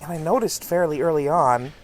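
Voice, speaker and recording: A man's voice: fairly high pitch, fairly quick, darkish tone, close to an everyday voice, poor audio. A man playing a laid-back, teasing male English professor who chats easily with a colleague. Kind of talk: audio drama acting.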